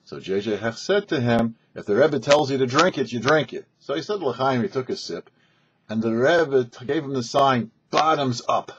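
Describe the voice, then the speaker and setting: A man's voice: mid-range voice, moderate pace, a bit bright, slight background noise. An American man hosting and narrating a religious story in an anecdotal way, acting out bits of dialogue. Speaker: host and storyteller. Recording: slightly dull lo-fi recording.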